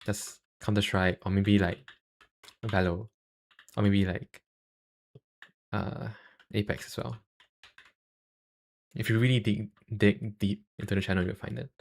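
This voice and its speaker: A young man with a Singaporean accent chatting casually on a livestream, halting and self-deprecating, speaking into a cheap USB microphone. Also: lowish voice, little pitch movement, creaky. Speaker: YouTuber and live streamer.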